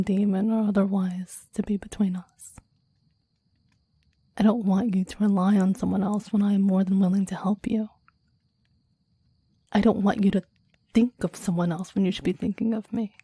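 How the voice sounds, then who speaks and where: A woman voicing a possessive, devoted demon in an intimate ASMR roleplay, speaking in soft breathy whispers with a formal, intense, adoring manner. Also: medium pitch, flat delivery, normal speed, pauses often, dry recording, lightly acted. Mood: yearning, adoring. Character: possessive, devoted clingy demon bound to a summoner.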